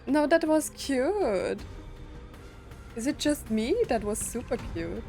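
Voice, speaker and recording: A woman's voice: sits high, lively intonation, takes it slowly, creaky, dark tone. A woman chatting informally and reacting to herself as she plays a video game, sometimes swearing. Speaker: gaming video host. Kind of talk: casual reactions while playing a game. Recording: game music behind the voice. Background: video game soundtrack and character voices.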